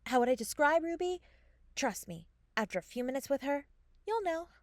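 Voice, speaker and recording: A woman performs a young fairy in an audio comedy, sardonic and exasperated. Her high voice moves around dramatically, with clear background noise behind it. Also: big pitch swings, moderate pace. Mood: wry.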